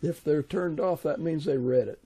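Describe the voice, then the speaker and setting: Gravelly, mellow man in casual conversation. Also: on the high side, normal speed.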